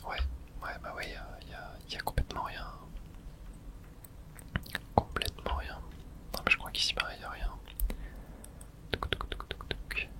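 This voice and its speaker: A person whispering casual, hushed ASMR commentary while playing a video game, breathy and thinking aloud. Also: moderate pace, pauses often.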